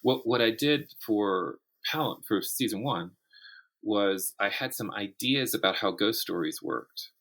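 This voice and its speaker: Male interview guest, writer type, very low voice, explanatory, works out thoughts aloud. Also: lively intonation, measured pace, fairly bright tone. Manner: explanatory, thinks aloud with self-corrections. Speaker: guest author and audio drama writer. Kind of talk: conversational interview about the writing craft.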